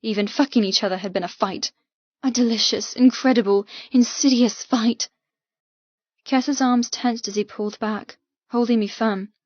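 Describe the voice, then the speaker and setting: A woman's voice: a little high, steady tone, normal speed, a little mellow, dry recording, dull audio. A woman narrating an audiobook novel in the first person, with an intense, emotive, dramatic delivery.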